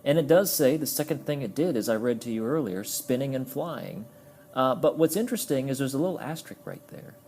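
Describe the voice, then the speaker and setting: A man's voice: mid-range voice, lively intonation, brisk, darkish tone, soft background music. A man giving a casual, explanatory toy review, friendly in tone and sometimes stumbling over his words.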